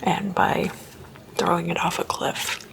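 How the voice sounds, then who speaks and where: Slow whispering woman, ASMR storytelling. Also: on the low side, flat delivery, gravelly, poor audio. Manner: hushed, unhurried, informal explanatory delivery. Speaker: host of a spooky ASMR series.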